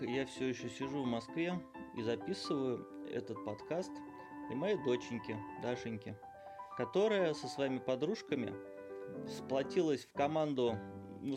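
Middle-aged man, joking rambling dad, medium pitch, clear music underneath. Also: expressive, normal speed. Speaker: guest parent. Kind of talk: casual home recording.

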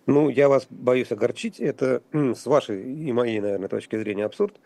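A man speaking as a guest commentator on a radio talk show, halting and wry, with frequent restarts and fillers. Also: medium pitch, gravelly, mellow, no room sound. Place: radio studio.

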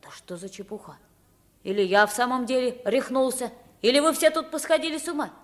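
A woman's voice: high-pitched, fairly quick, lightly acted. A woman playing a spirited, kind-hearted pauper boy mistaken for a king, with emphatic theatrical delivery in a radio play. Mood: indignant. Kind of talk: 1950s Russian radio play acting.

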